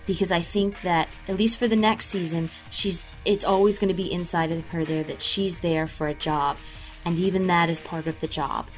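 A woman's voice: on the low side, steady tone, normal speed, soft background music. A woman who voices a character in an audio drama, answering interview questions in a thoughtful, rambling way with many fillers and restarts.

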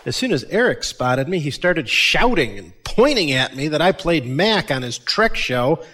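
A man's voice: on the high side, very animated, moderate pace, bright, forceful tone, a little hiss or hum. A man playing an enthusiastic audio-show actor, wry and theatrical, in scripted comedy dialogue.

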